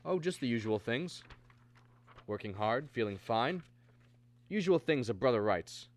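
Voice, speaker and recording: A man's voice: mid-range voice, expressive, measured pace, natural voice. An actor playing an earnest American math teacher and family man who tells his story in a 1950s radio drama style. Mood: casual, puzzled. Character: earnest abducted math teacher, husband and father, narrating.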